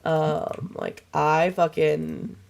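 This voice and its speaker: A young woman streaming a game, chatting casually to her viewers with a voice still hoarse and froggy from a cold, rambling and swearing freely. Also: low voice, flat delivery, very slow, darkish tone. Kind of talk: casual livestream chat while gaming.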